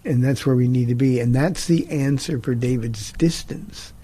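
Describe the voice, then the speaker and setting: Earnest pastor, mellow husky man. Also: medium pitch. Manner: earnest, halting, with many restarts. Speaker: pastor and show host. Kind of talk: conversational Bible teaching.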